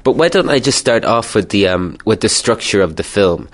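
A man with a fairly low voice hosts a radio interview. He talks conversationally, phrasing his questions politely and at some length. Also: big pitch swings, moderate pace. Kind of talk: conversational film festival interview.

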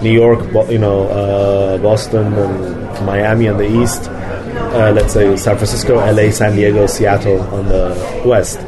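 A man who grew up in London, a film director answering interview questions in a halting way, with many fillers and restarts. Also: lowish voice, flat delivery. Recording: crowd noise behind.

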